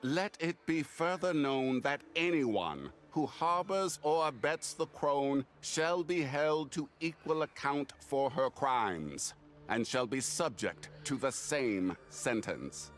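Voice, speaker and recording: A stern fantasy game herald delivers an official proclamation. He is grave, measured and declamatory, in a medium-pitched voice. Faint music sits underneath. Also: expressive. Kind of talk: formal proclamation in a video game.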